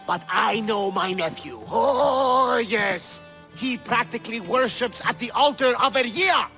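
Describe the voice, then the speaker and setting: A theatrical, exasperated old-world seafarer uncle in a video game. The voice sits high, with a creaky, forceful edge. Soft music runs behind it. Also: male voice, expressive, a little slow, heavily acted. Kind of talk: video game cutscene acting.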